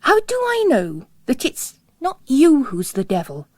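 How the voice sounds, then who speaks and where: Young nun, read by a woman: blunt, wary, weary of piety, expressive but unhurried. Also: high voice, dry recording, clean studio sound, pitched above the performer's own voice, exaggerated voice. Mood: fearful, accusing. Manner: plain-spoken, challenging.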